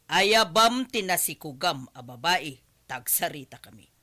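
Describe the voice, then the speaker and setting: A woman's voice: sits low, lively intonation, measured pace, bright, forceful tone, exaggerated voice. A woman playing a stern middle-aged mother scolding her grown son in a radio drama. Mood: disappointed, stern.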